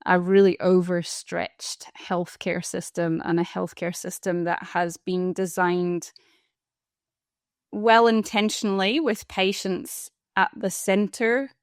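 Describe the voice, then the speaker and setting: A woman in her forties with a Scottish accent, a palliative care doctor and coach speaking as an interview guest in a thoughtful, earnest, measured way. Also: on the low side, smooth, no room sound, clean studio sound. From Scotland. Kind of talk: interview conversation.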